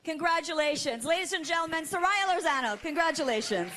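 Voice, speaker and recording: High-pitched woman hosting an awards gala. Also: brisk, fairly bright tone, slight background noise. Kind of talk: live event hosting, partly scripted announcements.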